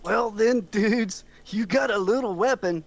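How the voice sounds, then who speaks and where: A man's voice: high voice, measured pace. A man playing a casual, teasing member of a monster-hunting team in an amateur script read.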